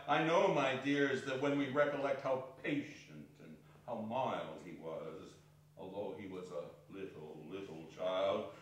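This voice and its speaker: A man playing a gentle, grieving father, speaking tenderly and haltingly in a theatrical reading. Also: on the low side, lightly acted. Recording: very echoey hall, distant, faint noise.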